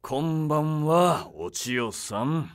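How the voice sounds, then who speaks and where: A man playing a hot-blooded, rough Edo detective in an anime-style audio drama, talking in belligerent commoner slang. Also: on the high side, expressive, slow pace. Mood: menacing.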